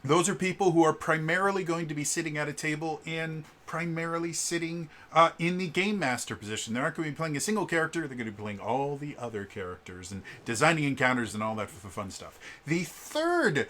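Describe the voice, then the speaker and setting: A man with a deep voice giving a casual solo review of a tabletop game book, often stuttering on the first sound of a word between fillers. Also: lively intonation, fairly quick, few pauses, pushed and bright. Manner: stuttering restarts on words, many fillers.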